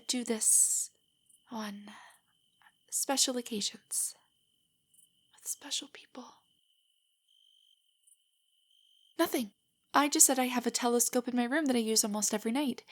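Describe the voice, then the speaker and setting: A woman playing a shy, nerdy young girlfriend in an intimate audio roleplay, soft and breathy, stammering with nerves. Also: fairly high pitch, unhurried, long pauses. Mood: flustered. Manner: nervous, stammering, hesitant rambling. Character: shy, nerdy, easily flustered young adult girlfriend on a date.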